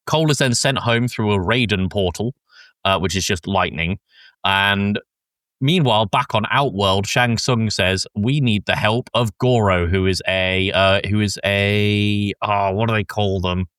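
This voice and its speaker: A man with a fairly low voice talks on a podcast in a very dry-sounding space. He recaps a film casually, rambling and stopping to search for words, and he swears freely. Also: a bit bright, clean tone, dry recording. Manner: rambling and halting, frequent swearing. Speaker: podcast host.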